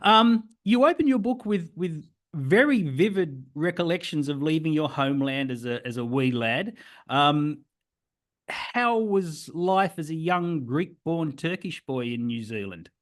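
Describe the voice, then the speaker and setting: Enthusiastic podcast host. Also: male voice, on the high side, lively intonation, measured pace. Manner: enthusiastic, admiring. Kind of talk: podcast interview.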